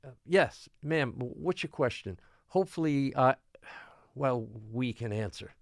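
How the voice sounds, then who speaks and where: A man playing a harried small-island mayor in an audio drama, placating and stammering as he pleads for calm. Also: medium pitch, unhurried, no room sound, clean studio sound. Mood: earnest, hesitant. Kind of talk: audio drama acting, public town meeting address.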